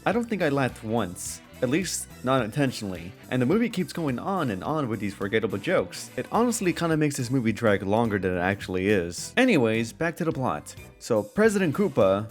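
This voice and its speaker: A man reviewing a film in casual, scripted video-essay narration with an informal manner. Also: medium pitch. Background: background music under voice-over.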